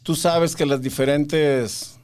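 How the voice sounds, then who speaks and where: A man's voice: a little high, fairly even intonation, takes it slowly, a little mellow. A man hosting a faith podcast in casual conversation, probing his guest and cutting in to push back. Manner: probing, interrupts to challenge. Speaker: podcast host.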